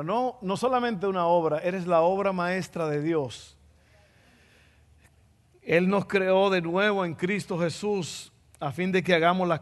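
High-voiced Dominican preacher in a hall. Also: male voice. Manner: playful, repeats phrases for emphasis. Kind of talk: sermon with jokes.

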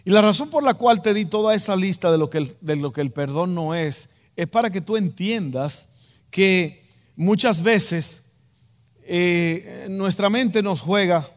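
A man's voice: high-pitched, expressive, measured pace. A man with a Dominican Spanish accent preaches a sermon, emphatic and direct, repeating key phrases for weight. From Dominican Republic. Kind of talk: sermon to a congregation. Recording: long hall reverb.

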